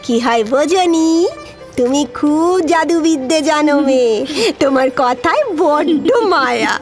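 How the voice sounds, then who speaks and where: A woman's voice: high voice, measured pace, soft background music. A woman playing an older village maidservant in an audio drama, rustic, motherly and emotional, speaking rural West Bengal dialect. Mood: mock scolding. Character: older village maidservant on pilgrimage, tender-hearted, plain-spoken.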